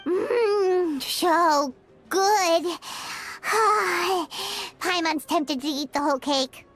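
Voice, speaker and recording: A woman voicing a tiny, excitable, food-loving childlike fairy companion in a video game, with a squeaky, exuberant manner. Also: extremely slow, soft background music, heavily acted. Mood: delighted, greedy. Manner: squeaky, exuberant, third-person self-reference. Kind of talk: animated video game voice acting.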